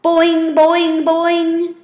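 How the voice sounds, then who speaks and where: A woman's voice: extremely high pitch, completely flat delivery, very slow, darkish tone, echoey room, pitched above the performer's own voice, exaggerated voice. A woman voicing a classroom pet frog in a storybook, with short, bouncy, twanging exclamations. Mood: excited.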